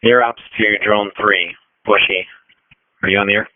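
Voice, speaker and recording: Male radio coordinator, low monotone, procedural and halting, dry narrowband police transmission. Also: dry recording. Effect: police two-way radio. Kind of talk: radio dispatch traffic.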